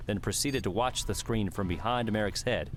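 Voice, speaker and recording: A man's voice: fairly low pitch, moderate pace, a little hiss or hum. A man narrating an audiobook, reading scripted fiction.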